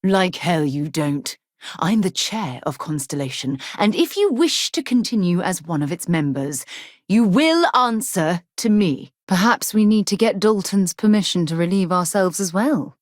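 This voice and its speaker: An actress playing a principled, commanding middle-aged woman explorer and former naval officer in a video game, speaking in a crisp, articulate, earnest way. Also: lowish voice, expressive, a little slow, dry recording, studio quality. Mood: indignant, exasperated. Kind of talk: acted video game companion dialogue.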